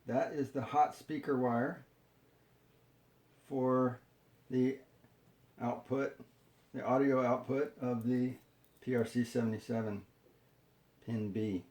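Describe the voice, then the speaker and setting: Male how-to presenter, unhurried and dark-toned, unscripted, explaining each step haltingly in an echoey room. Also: medium pitch, fairly even intonation. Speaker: tutorial presenter. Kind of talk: hands-on how-to demonstration, unscripted.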